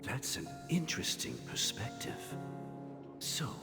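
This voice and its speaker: A man playing a calm, fatherly older guardian in a video game, speaking in a measured, gently formal way. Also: medium pitch, loud music behind the voice, full character voice. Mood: gentle, reflective. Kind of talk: scripted video game voice acting.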